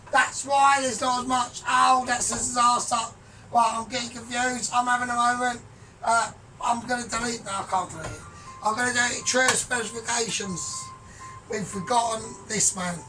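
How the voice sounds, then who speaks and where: A man talking through his cooking in a rambling, grandiose, sweary way, often trailing off quiet. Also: very high-pitched. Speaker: home cook vlogger. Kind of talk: casual cooking vlog. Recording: distant mic with music behind.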